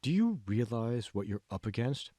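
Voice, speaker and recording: A man playing a calm, manipulative male mentor in an audiobook, speaking slowly and deliberately with hushed, condescending questions. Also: medium pitch, no echo, clean studio sound. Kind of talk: dramatic audiobook dialogue.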